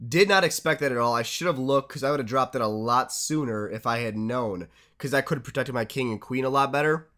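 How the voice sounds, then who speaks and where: A young man with a stuffed-up, congested voice talks through his strategy game replays at a middle pitch. He keeps it casual and chatty, dropping tips and upbeat asides as a channel host. It sounds like a home desk-mic voice-over. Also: a little fast, few pauses, a bit bright.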